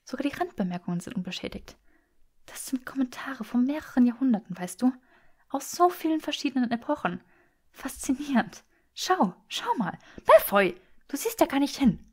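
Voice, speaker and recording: Lady doing an excited nerdy young woman in an audiobook, gushing, breathy and emphatic, voice jumping up high. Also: normal speed, exaggerated voice. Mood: fascinated, eager. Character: eager, bookish young woman, bubbling with excitement. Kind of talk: acted audiobook dialogue.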